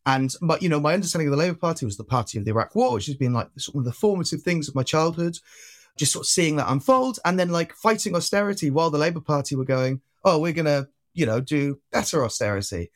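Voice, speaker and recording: Twenties guy from wales, slight welsh twang, talks quick n rambly, lots of likes and restarts, kinda high voice. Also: lively intonation. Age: 20s. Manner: chatty, rambling, full of fillers and restarts. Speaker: guest activist. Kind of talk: casual interview chat.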